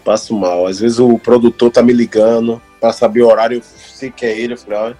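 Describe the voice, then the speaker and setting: A Brazilian man in his thirties telling stories in a casual chat, animated, laughing often and mimicking other voices. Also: mid-range voice, brisk, soft background music. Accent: Brazilian Portuguese. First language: Portuguese. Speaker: actor working as an extra.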